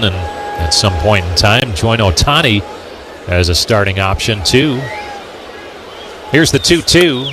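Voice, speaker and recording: Male American baseball radio announcer, mid-range voice, live commentary with noticeable noise behind. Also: medium pitch. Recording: clear background noise from outdoor ballpark.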